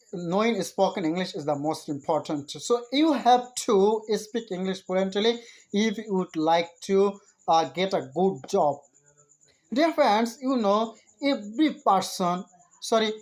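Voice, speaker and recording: A woman with a Bengali accent, a native Bengali speaker giving an earnest, halting motivational English lesson in learner's grammar. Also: mid-range voice, poor audio. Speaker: spoken English teacher.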